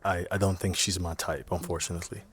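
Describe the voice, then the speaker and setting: A man's voice: deep voice, level tone, fast talker, a bit bright, breathy edge, no room sound. A man in his thirties with a Liberian accent, a dating show contestant speaking politely and earnestly. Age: 30s.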